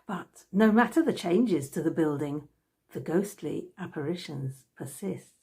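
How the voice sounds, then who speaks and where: Woman reading aloud with lively pitch. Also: a little slow, husky. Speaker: author reading from own book. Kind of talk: scripted narration, reading aloud.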